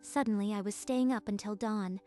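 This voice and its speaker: A woman's voice: medium pitch, steady tone, normal speed, warm tone, faint music behind, lightly acted. An actress playing a proud, icy young woman who hides tender feelings, in a manga dub. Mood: vulnerable. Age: young adult.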